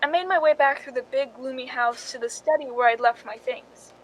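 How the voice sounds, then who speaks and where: Woman acting high-voiced, calculating radio noir dame. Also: a little fast, lightly acted. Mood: wry, reflective. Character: cool, calculating young Hollywood stand-in narrating her own story. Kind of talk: 1940s-style suspense radio drama acting. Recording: noisy recording with low rumble.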